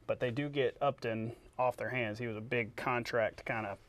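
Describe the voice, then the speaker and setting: A man's voice: medium pitch, fairly even intonation, normal speed, fairly bright tone. A man co-hosting a sports broadcast, mixing headlines with casual banter. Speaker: sports show co-host.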